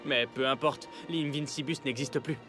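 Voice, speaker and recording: A man's voice: medium pitch, fairly even intonation, moderate pace, natural voice. A man voicing a bored young prince, dry and world-weary, in a children's cartoon. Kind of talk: animated children's cartoon dubbing. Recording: music behind the voice. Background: music.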